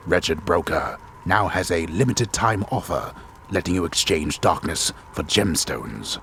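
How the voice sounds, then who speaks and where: An actor playing a solemn, disembodied game storyteller, reading scripted text with grave, deliberate, wryly deadpan theatricality. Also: male voice, sits low, slight background noise, exaggerated voice. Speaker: game narrator.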